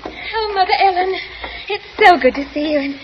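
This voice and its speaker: An actress playing a cheerful, chatty, polite young London daughter in a 1940s radio drama. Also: female voice, extremely high pitch, moderate pace, background noise. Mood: cheerful, gushing. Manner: bright, eager, chatty. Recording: muffled old radio recording with music.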